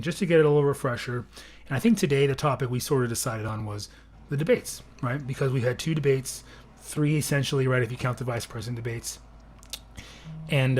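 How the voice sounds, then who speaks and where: Raspy guy hosting a live podcast, rambly, lots of ums, slight hiss in the background. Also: mid-range voice. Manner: rambling, thinking aloud with fillers. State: recovering from throat surgery. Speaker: podcast host. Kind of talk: casual livestream chat.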